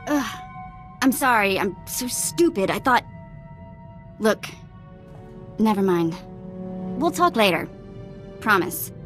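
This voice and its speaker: A woman playing a young, self-doubting former slave and thief in a video game, talking in a halting, candid way. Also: mid-range voice, sing-song, over music. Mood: embarrassed, flustered.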